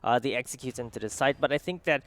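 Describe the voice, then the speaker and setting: A man's voice: mid-range voice, fast talker, a bit bright. A male esports analyst giving panel commentary. He speaks hesitantly, with many fillers and restarts.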